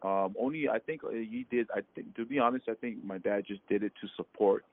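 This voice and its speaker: A man from Hawaii and American Samoa, recalling his past in a halting, casual phone interview full of fillers. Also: fairly low pitch. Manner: halting, many fillers and restarts, laughs often. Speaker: interviewee, former ballplayer. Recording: tinny phone line with light noise.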